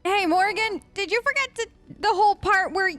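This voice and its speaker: A woman's voice: extremely high pitch, moderate pace, fairly bright tone, soft background music. A woman let's-player improvising casual role-play replies in a chatty, rambling, playful way.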